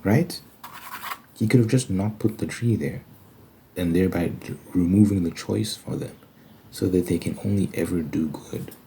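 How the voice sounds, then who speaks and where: A low-voiced man with a mellow, dark tone delivers an informal ASMR monologue. He explains things haltingly and conversationally, often posing questions to himself. Faint noise sits behind his voice. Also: gravelly. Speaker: ASMR video creator. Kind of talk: casual ASMR religious monologue.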